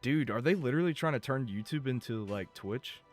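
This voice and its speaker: A man streaming a game live, talking casually and rambling, his voice going a bit rough as he loses it. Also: mid-range voice, expressive, fairly quick, soft background music. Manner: rambling, chatty, swears and laughs. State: losing his voice.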